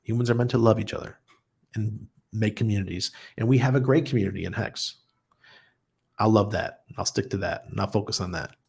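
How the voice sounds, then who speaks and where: Livestream host, male, low gravelly voice with some huskiness, blunt and chatty, laughs easily. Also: fairly quick, darkish tone. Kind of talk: casual livestream chat, reading viewer comments.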